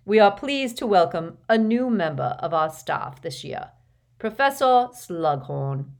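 A woman voicing a genial, commanding elderly wizard headmaster in a storybook, giving a stately, measured address. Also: medium pitch, lively intonation. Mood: stately, announcing.

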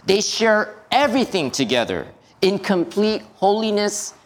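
A male pastor preaching slowly and deliberately. His pitch moves a lot as he speaks, lifting on key words and dropping at the end of each phrase. The delivery is calm and steady throughout. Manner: slow, measured preaching with lively pitch and drops at phrase ends. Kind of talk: sermon.

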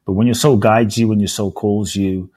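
A man's voice: fairly low pitch, steady tone, moderate pace, darkish tone, slightly rough. A man from London, telling his life story as a podcast guest in an earnest, emphatic way with rhetorical repetition. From born in Ghana, raised in London. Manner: earnest, emphatic, repeats phrases for rhetorical effect. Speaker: podcast guest, speaker and author. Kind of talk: reflective personal storytelling interview.

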